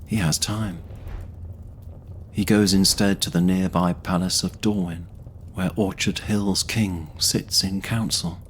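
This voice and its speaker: A man's voice: low-pitched, fairly even intonation, slow pace, a little hiss or hum. A man narrating a scripted fantasy audio drama in a solemn storytelling manner.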